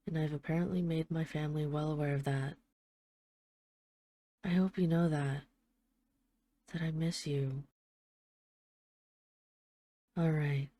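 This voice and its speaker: Woman playing a female mafia boss, lowish mellow voice, affectionate, wry, self-deprecating, intimate audio roleplay voicemail. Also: dry recording, studio quality, lightly acted. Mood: wistful.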